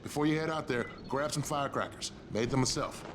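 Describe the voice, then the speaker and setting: A man playing a gruff, no-nonsense survivor in a video game, giving curt, sardonic briefings. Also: medium pitch, a little fast, noisy background, lightly acted. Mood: serious, instructive. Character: gruff, no-nonsense male survivor handing out jobs. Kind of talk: video game dialogue acting.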